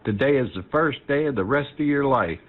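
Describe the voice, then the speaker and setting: An American man on an amateur radio net, reciting a folksy, homespun sign-off in a measured way. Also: medium pitch, animated. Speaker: ham radio net participant. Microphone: internet-linked ham radio transmission. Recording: narrow phone-like radio link audio.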